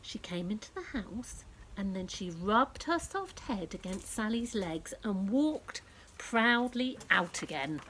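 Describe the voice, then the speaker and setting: Woman, children's storyteller, very lively intonation, unhurried delivery, hushed breathy moments, faint background noise. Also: medium pitch, poor audio. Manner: addresses young children directly, unhurried storytelling. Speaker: children's story reader and presenter. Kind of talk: expressive picture-book read-aloud for young children.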